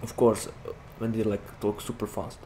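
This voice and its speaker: Lowish Slovak-accented man, chatty gamer. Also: level tone, moderate pace, warm tone. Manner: rambling, chatty, heavy use of "like" fillers. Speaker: gaming YouTuber. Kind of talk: casual gameplay commentary. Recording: game vehicle noise behind voice.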